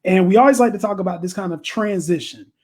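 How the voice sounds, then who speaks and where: A man hosting an interview show in a relaxed, easygoing way, laughing often and restarting his questions. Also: sits high, quick pace, slightly rough. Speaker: interview host. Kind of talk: casual interview chat.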